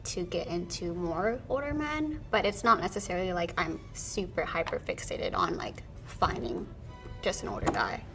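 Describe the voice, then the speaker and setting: A woman in her twenties talking in a relaxed, reflective way as a guest on a couples show. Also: on the low side, moderate pace, faint music behind. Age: 20s. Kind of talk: casual chat.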